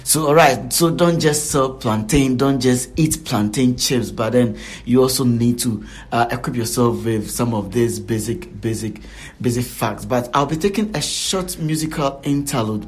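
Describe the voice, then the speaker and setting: A Ghanaian man hosting a podcast, talking casually with lots of fillers and pauses. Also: mid-range voice. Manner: halting, many fillers. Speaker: podcast host.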